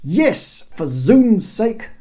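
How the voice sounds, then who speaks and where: A storyteller acting a short, irascible male conqueror from a comic audiobook. He declaims in a loud, raised pitch, pompous and indignant. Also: very animated, very slow. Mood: indignant, furious. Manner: bombastic, indignant shouting.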